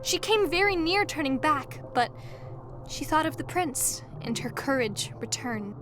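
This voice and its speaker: A woman's voice: sits high, very animated, measured pace, noisy background. A woman narrating a fairy tale as a scripted storyteller in an audio drama. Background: music.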